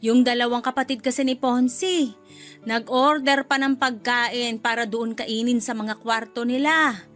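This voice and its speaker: A woman's voice: fairly high pitch, fairly even intonation, measured pace, a bit bright, faint music behind. A woman playing a chatty, bluntly nosy middle-aged mother-in-law in a Filipino radio drama, with a Filipino accent. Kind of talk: Tagalog radio drama acting.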